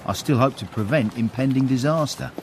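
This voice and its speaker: A man's voice: mid-range voice, fairly quick, noisy background, close to an everyday voice. An actor playing a wry, discreet British manservant, confidingly narrating his tale in a period comic radio drama. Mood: dry storytelling.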